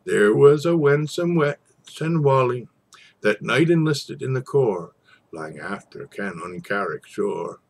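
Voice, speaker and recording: A man gives a dramatic recitation of an old Scots tale in verse. His voice is husky and crackles with fry. He takes his time, storyteller fashion, with theatrical pauses and swells. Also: mid-range voice, lively intonation. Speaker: poetry reader.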